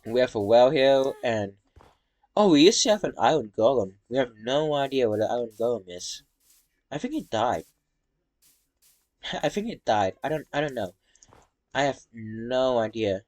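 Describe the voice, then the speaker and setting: A man's voice: medium pitch, lively intonation, a little mellow, no echo. Tired, rambling young man narrating a tour. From Indonesia. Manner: halting, rambling, many fillers and restarts. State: tired from talking. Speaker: Minecraft world tour vlogger. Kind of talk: casual gameplay walkthrough narration.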